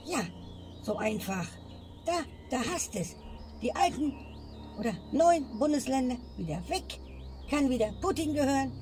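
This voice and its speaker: A woman's voice: high voice, animated, measured pace, pauses often, warm tone. A woman ranting in a comedy sketch and ending her lines with a questioning tag. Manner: grumbling, tags lines with "ne?". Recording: music bed behind voice. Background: music.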